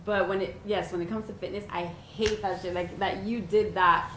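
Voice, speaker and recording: A woman's voice: medium pitch, steady tone, normal speed, fairly bright tone. A woman podcast guest giving blunt, emphatic opinions in casual chat, swearing freely. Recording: echoey room, faint noise.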